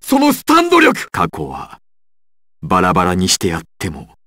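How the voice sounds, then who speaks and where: Arrogant anime mob boss villain, super theatrical and threatening, dry studio sound, game voice lines. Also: male voice, sits low, wildly swooping pitch, normal speed, pushed and bright, no room sound, heavily acted. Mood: furious, shouting, then cold, menacing. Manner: imperious, menacing, theatrical delivery. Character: proud, ruthless male crime boss villain. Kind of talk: dramatic anime fighting game voice acting.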